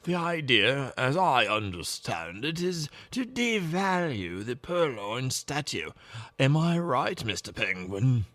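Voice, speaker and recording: An actor playing a learned gentleman with precise, formal wording in a detective radio play. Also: medium pitch, big pitch swings, takes it slowly, no room sound. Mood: formal, composed. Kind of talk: radio drama acting.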